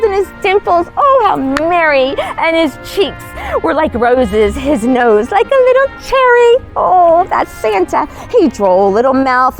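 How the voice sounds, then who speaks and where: A woman plays a kindly Christmas storyteller with a high, very lively voice. She reads a storybook to children full of wonder and exclamation, with music playing behind her. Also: heavily acted, low-quality recording. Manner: exclamatory, wondering, gasps and oohs. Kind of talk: animated storybook reading to children.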